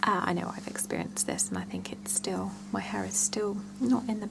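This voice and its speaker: A woman's voice: medium pitch, sing-song, moderate pace, poor audio. Whispering woman in an ASMR salon roleplay. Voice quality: soft breathy whisper with creaky edges. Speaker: ASMR performer playing a salon scalp therapist.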